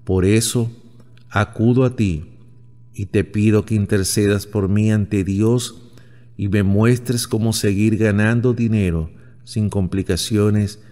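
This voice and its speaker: Slow solemn man reciting a prayer. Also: lowish voice, steady tone, warm tone. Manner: slow, solemn, devotional delivery. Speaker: prayer narrator. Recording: long hall reverb.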